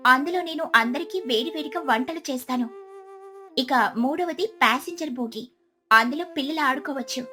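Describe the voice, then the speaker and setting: A woman's voice: sits high, sharp tone. A woman voicing a clever, caring, cheerful female sparrow in a children's cartoon story, eagerly explaining things.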